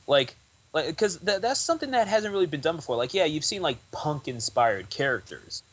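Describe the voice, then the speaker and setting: A man's voice: fairly high pitch, sing-song, fairly quick, slightly rough. A young man talking as a guest on a podcast, rambling loosely with lots of "like" and restarts. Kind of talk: casual interview chat.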